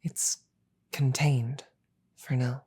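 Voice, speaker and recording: A woman voicing a regal, calm demon queen in a roleplay audio drama, speaking in a slow, deliberate, breathy murmur with measured pauses and quiet menace. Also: rumbling low, exaggerated voice. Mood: pensive, probing.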